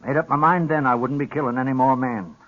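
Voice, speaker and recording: An actor playing an aging, stubborn frontier rancher father with an American accent and halting, folksy speech in a 1950s radio western. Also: medium pitch, close to an everyday voice. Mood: grimly resolved. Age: older. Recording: dull old radio broadcast recording.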